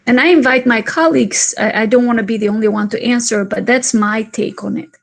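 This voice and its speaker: Albanian-born woman speaker, higher voice. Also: fairly quick, vocal fry. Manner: thoughtful, restarts and fillers, emphatic. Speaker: conference speaker. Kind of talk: answering questions in an online session. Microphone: video call.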